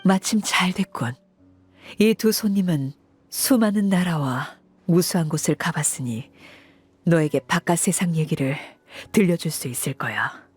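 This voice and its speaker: A stern, commanding matriarch, measured and authoritative. Her voice is low and husky, with faint music underneath. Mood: composed. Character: cold, commanding adult female Harbinger, stern matriarch. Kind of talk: game cutscene dub.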